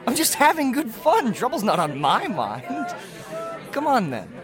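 Rowdy drunk bully in a radio play, slurry, voice swings all over, crowd behind. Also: male voice, very high-pitched, huge pitch swings, unhurried, heavily acted. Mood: mocking menace. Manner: taunting, rambling. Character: rowdy, menacing male drunkard in a pub. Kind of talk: radio drama acting.

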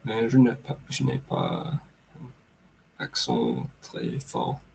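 Male co-host from Yorkshire speaking a second language, slow and searching, gravelly, lively intonation. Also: a bass voice. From England, Yorkshire. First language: English. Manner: slow and halting, searching for words, many pauses. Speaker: podcast co-host. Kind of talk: casual chat in a second language. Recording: dull lo-fi audio with faint noise.

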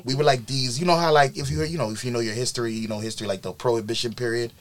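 A man's voice: medium pitch, racing, over a noisy crowd. A man who grew up in New York, an event planner chatting casually on a podcast, telling his story with plenty of ums and likes. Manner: relaxed storytelling with many fillers.

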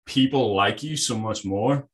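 A young man hosting a podcast, relaxed and casual, with a fairly even, monotone way of talking. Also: mid-range voice, measured pace. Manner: relaxed, laughs, self-described monotone.

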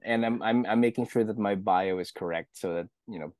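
A man with a slightly croaky voice, guest hosting a podcast in an upbeat, chatty way. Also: on the low side, a little fast, darkish tone, no echo, clean studio sound. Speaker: guest host, author. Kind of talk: casual podcast chat.

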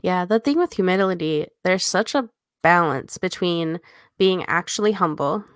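A woman co-hosting a podcast, casually reflecting on a book and pausing between phrases as she thinks. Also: lowish voice, expressive, no room sound, studio quality. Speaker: podcast co-host. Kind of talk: casual book commentary discussion.

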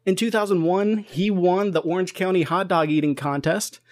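A man's voice: high-pitched, fairly even intonation, moderate pace. A man hosting a podcast, reading a scripted introduction in an earnest, formal way. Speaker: podcast host and interviewer. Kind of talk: scripted introduction read aloud.

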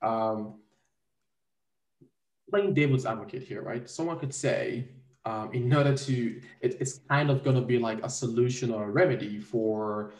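A man from Cameroon sounds set back from the microphone in a very echoey room. He interviews casually at a middle pitch, feeling his way through questions with hesitant phrasing. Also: unhurried, darkish tone. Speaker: interviewer, host. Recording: echoey room, distant from mic.

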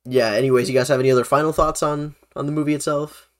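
A young man hosts a casual movie discussion. His voice sits at a middle pitch, and his delivery is informal and conversational. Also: fairly even intonation, quick pace. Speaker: podcast host. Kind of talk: casual chat about films.

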